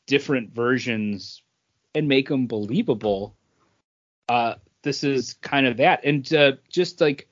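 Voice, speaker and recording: Opinionated man, medium pitch, dull podcast sound. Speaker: podcast co-host. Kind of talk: casual opinionated chat.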